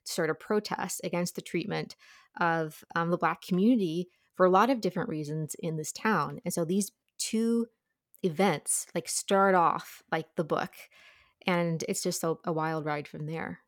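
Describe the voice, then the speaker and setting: A woman with a fairly low voice gives her take as a book reviewer in a relaxed podcast conversation. The recording is very dry, with no room sound around her. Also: moderate pace, no echo.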